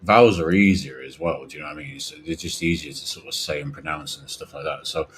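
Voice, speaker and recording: Deep husky Yorkshire man. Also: quick pace, fairly bright tone. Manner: chatty, teasing, interjecting. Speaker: podcast co-host. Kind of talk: casual podcast chat.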